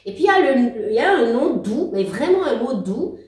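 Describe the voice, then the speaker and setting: A woman in her forties talks through her life story in a relaxed interview, warm and halting, circling back over her words. She sounds a little distant from the mic, in a roomy space, as on a video call. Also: fairly high pitch. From outside Belgium. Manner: warm, halting, many restarts and laughs. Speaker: guest. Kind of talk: casual interview talk.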